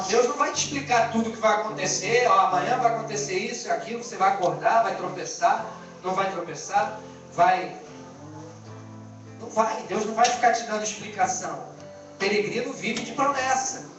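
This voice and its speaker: High-voiced Brazilian-accented male preacher, echoey recording. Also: a bit bright, lo-fi sound. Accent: Brazilian Portuguese. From born in Angola, lived in Brazil. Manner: colloquial, exhortative, rhetorical questions and repetition. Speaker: pastor preaching to a church. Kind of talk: informal sermon. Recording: echoey distant band-limited live stream, music behind.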